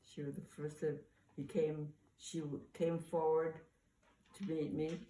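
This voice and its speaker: A woman's voice: sits low, flat delivery, a little slow, dark tone. Older woman reminiscing, echoey distant recording. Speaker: mother sharing family memories. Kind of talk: casual oral-history reminiscence. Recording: echoey, distant mic, faint room noise.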